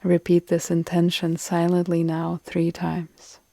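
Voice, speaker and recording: A woman guiding a relaxation meditation in a calm, soothing, deliberate way with long pauses, her soft phrases trailing into breath. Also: on the low side, creaky, no echo. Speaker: yoga nidra meditation guide.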